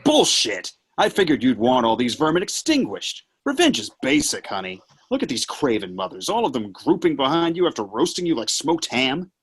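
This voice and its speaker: A man playing Satan as a mocking, brash, theatrical devil with a rough voice in a play read-through. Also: medium pitch, big pitch swings, normal speed, few pauses, fairly bright tone. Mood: outraged taunting. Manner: sneering, taunting, swings between mutter and outburst. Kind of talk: acting in a virtual table read.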